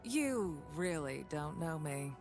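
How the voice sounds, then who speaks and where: Casting: a cynical, independent game heroine, low-voiced and dry, faint music behind. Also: female voice, big pitch swings, slow, heavily acted. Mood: sardonic, defiant. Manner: dry, sardonic. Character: cynical, defiant young woman, independent mercenary type. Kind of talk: fantasy game voice acting.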